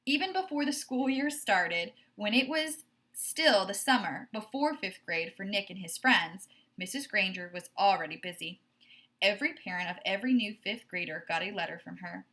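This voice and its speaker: A woman reading a children's book aloud like a teacher, in expressive, measured storytelling with stressed phrases. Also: medium pitch.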